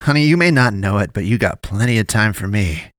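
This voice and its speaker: A man's voice: on the low side, expressive, brisk, fairly bright tone, no room sound, clean studio sound. A man voicing a crude, sleazy drunk who corners a woman in an alley, talking in a drawling, cooing way.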